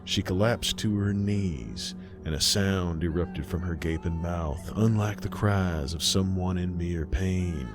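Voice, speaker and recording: Low-voiced man narrating a horror story. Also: slow, hardly any pauses, over music.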